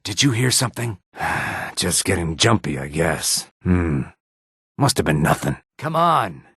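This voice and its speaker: A gruff lizard-man from a fantasy video game, his throat full of gravel and rasp. He is combative and curt, with a theatrical, widely swinging pitch. The sound is close and dry. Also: very animated, unhurried, a bit bright, dry recording, exaggerated voice. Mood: wary, uneasy, then taunting, threatening. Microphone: close studio microphone, dry.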